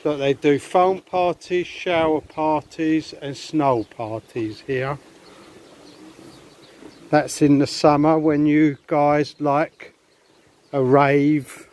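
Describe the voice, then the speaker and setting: A man's voice: on the high side, takes it slowly, darkish tone. A man chatting casually to viewers as he walks along a beach and past hotels, recorded on a handheld camera outdoors. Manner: rambling, chatty, addresses viewers. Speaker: vlogger. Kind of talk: casual walking vlog. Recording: faint outdoor noise.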